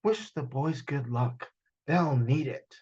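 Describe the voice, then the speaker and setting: A man voicing a gentle mother dragon in a storybook, giving advice in a soft, measured manner. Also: medium pitch, husky, lo-fi sound. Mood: hushed.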